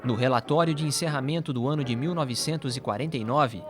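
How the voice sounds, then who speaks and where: A man's voice: mid-range voice, quick pace. A man with a Brazilian accent reads scripted documentary narration. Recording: music playing behind the voice. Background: background music.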